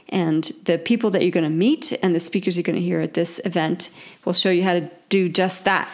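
A woman in her forties with a croaky voice from a cold, hosting her own leadership podcast in a bubbly, upbeat way. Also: fairly low pitch, darkish tone. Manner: bubbly, upbeat, laughs often. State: hoarse from a cold. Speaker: podcast host, leadership coach. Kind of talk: casual solo podcast talk.

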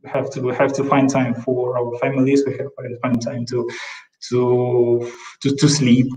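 A man's voice: mid-range voice, completely flat delivery, normal speed, mellow, a little hiss or hum. A man, a corporate counsel, speaking on a panel over a video call with many fillers and repeated words. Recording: roomy, distant video call audio.

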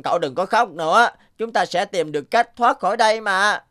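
A man voicing a determined, persuasive golden goat in an animated fable, assured and encouraging. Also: sits high, brisk.

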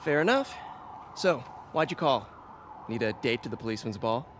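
A man's voice: medium pitch, lively intonation, moderate pace, lightly acted. An actor playing a wisecracking young male superhero who teases and jokes. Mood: teasing. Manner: joking, teasing. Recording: game audio with clear ambient noise.

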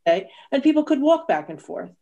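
A woman's voice: sits high, sing-song, fast talker, vocal fry, dark tone. A woman speaking as a historian on a video-call panel, explaining past events informally with hesitant phrasing. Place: indoors at home, on a video call. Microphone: computer video-call audio.

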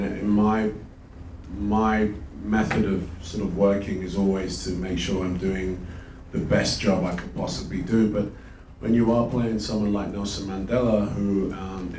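A man speaking as a lead actor at a press conference, measured and reflective, with many fillers, holding a handheld mic. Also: lowish voice. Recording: roomy, from a distance, noisy room.

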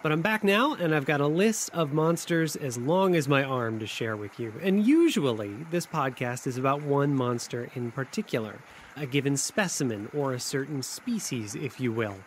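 A man's voice: mid-range voice, animated, normal speed. A man hosting a podcast, reading a scripted introduction to an interview. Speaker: podcast host. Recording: noisy, recorded running water ambience behind. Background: running water ambience.

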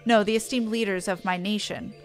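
A woman's voice: mid-range voice, steady tone, brisk, faint music behind, natural voice. Woman as pompous feeble scholar fellow, wordy, dithering, theatrical, fantasy game dialogue. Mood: self-important.

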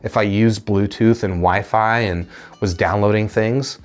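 Low-voiced man giving a casual review. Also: fairly even intonation, a little slow. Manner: conversational, explanatory. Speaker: gadget reviewer, video host. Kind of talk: casual product review to camera. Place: indoor studio room. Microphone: lapel mic.

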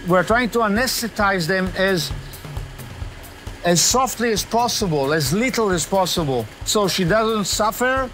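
A male researcher talks in a high, soft, careful voice at an indoor fish facility. Clear music plays under him. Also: lively intonation, a little slow. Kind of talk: on-site interview.

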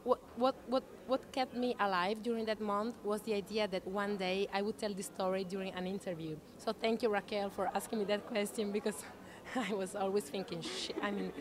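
Actress guest, woman in her thirties. Animated, self-correcting anecdotes into a handheld mic, with people chattering behind. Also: medium pitch, moderate pace. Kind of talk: chatty anecdotal interview answers. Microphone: handheld interview microphone shared with interviewer. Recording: busy chatter in the background.

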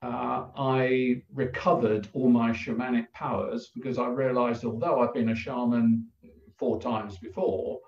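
An older man with an English accent, a guest telling a personal story over a video call in a halting, reflective way with many pauses. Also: mid-range voice, steady tone, a little mellow. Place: plain room indoors. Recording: roomy, distant, dull video call audio.

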